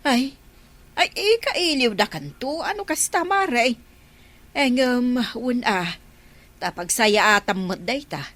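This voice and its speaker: A woman's voice: a little high, lively intonation, takes it slowly, a bit bright. A woman playing an inquisitive village neighbour in a comedy radio drama, with an Ilocano accent. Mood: surprised.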